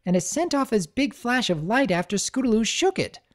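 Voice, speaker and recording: A woman's voice: a little high, big pitch swings, normal speed, pitched above the performer's own voice. A woman voicing a sweet, cheeky little filly with a bubbly child voice.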